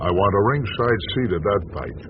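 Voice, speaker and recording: Tired bitter newspaper guy in a radio play, sardonic, deep voice, muffled noisy recording. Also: sing-song, brisk. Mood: wry, resigned. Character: world-weary, bitterly sardonic small-town American newspaperman. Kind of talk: radio drama acting. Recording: dull lo-fi radio broadcast, noisy.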